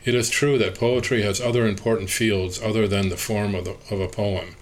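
A man with a somewhat low voice reads an interview transcript aloud, speaker labels included. His delivery is measured and even. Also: a little hiss or hum. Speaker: reader of an oral history interview transcript.

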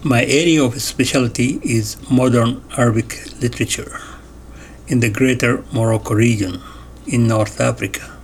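A man reading an interview transcript aloud in a measured way, announcing each question and answer. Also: mid-range voice, fairly even intonation, a little hiss or hum. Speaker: voice-over reader of an interview transcript.

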